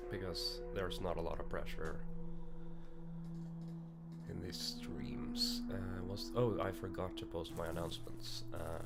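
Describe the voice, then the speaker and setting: Male streamer, low register, slightly ill, low-key and unhurried casual chat over clear background music. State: still somewhat sick. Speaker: streamer, amateur voice actor.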